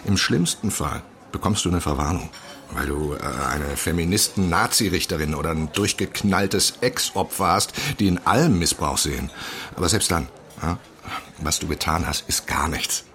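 Brash, blunt, low-voiced cynical friend. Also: male voice, normal speed, fairly bright tone. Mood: reassuring, blunt. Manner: blunt, sweary, mocking, emphatic. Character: brash, cynical male friend giving blunt legal advice. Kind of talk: naturalistic radio drama acting.

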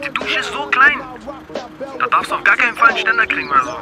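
An actor playing a brash, teasing, foul-mouthed young pal in a German radio play, slangy in speech. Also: male voice, very high voice, lively intonation, fast talker, music in the background.

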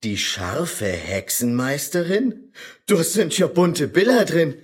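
An actor playing a scholar's nosy, nagging student with a Low German accent, speaking fussily in rhymes in a radio play. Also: male voice, sing-song, slow, breathy edge, heavily acted. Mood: nosy, amused.

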